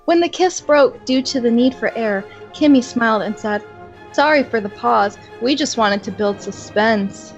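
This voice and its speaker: A woman's voice: a little high, moderate pace. A young woman reading amateur fan fiction aloud, often giggling between lines. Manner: reads aloud with frequent giggles. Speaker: co-reader. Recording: dull lo-fi home recording. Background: faint music.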